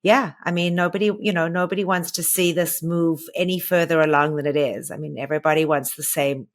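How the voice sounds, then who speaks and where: A woman co-hosting a podcast in casual conversation, talking in a hesitant way with many pauses to find her words. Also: sits low, steady tone. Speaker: podcast co-host. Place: lives in the United States.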